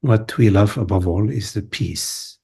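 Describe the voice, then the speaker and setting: A man guides a meditation in a low, very husky and breathy voice. His delivery is mellow and contemplative, broken into short phrases with long silences between them. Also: unhurried. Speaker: meditation teacher. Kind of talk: guided meditation, spoken softly to listeners.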